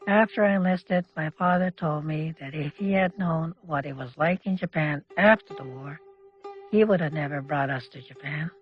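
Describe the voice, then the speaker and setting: A woman's voice: sits low, normal speed. A woman, speaking in a reflective way as she recalls personal memories. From Canada. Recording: muffled audio with music behind. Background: music.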